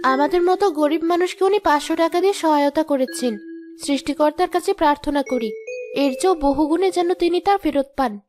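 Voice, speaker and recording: A woman's voice: sits high, a little fast, darkish tone, lightly acted. A woman voicing a proud, plain-spoken poor housemaid and young mother in a cartoon moral story, sounding plaintive and reproachful. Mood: earnest. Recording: music behind the voice.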